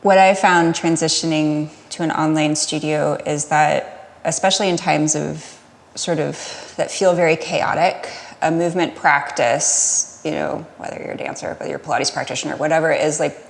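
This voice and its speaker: A woman's voice: sits low, fairly even intonation, normal speed, gravelly, big-room sound. An American woman talking casually as a podcast guest, explaining things in a looping, self-interrupting way. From United States. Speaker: podcast guest, Pilates studio owner.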